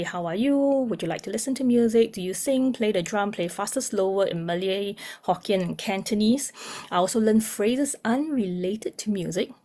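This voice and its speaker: A young woman, a music therapist giving a measured webinar presentation with frequent ums. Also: mid-range voice, darkish tone. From Singapore. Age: young adult.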